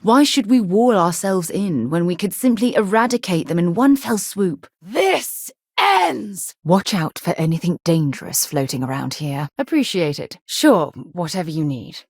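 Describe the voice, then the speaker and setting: An actress playing a principled, warm, battle-tested space explorer and former commander in a video game, earnest and articulate. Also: female voice, on the low side, very animated, measured pace, few pauses, husky, no room sound, studio quality, full character voice. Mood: resolute, then fierce combat shouts. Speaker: game companion character. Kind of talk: video game voice acting, dramatic dialogue.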